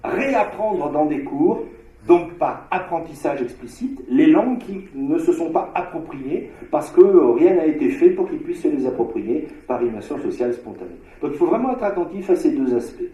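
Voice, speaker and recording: A man from Marseille giving an academic conference lecture, discursive, with frequent filler pauses and restarts. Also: on the high side, expressive, mellow, echoey room, highs cut off. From Marseille, France. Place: lecture hall.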